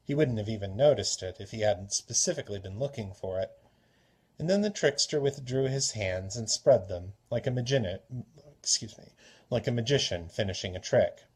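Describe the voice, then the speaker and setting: A man's voice: fairly low pitch, animated, moderate pace, a little mellow, husky. A man reading a story aloud as a narrator, in scripted fiction style. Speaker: story reader.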